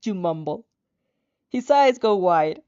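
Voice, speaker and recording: Mellow-voiced woman reading fan fiction casually. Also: fairly low pitch, lively intonation, takes it slowly, clean tone, lo-fi sound. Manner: giggly, stumbles over words while reading. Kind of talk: casual read-aloud with off-script reactions.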